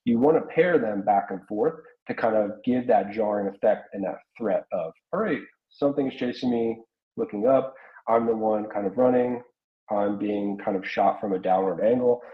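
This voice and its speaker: An American man in his thirties explaining things informally as an art instructor in an online workshop, talking through examples. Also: lowish voice. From South Carolina, United States. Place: small home room, indoors. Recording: roomy, slightly dull webcam audio.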